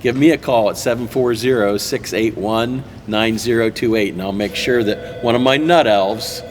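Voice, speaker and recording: A man's voice: fairly low pitch, moderate pace, a little hiss or hum. A man appearing as a guest on a local TV interview, speaking in a plain, matter-of-fact way. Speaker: guest, club member. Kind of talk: casual interview talk.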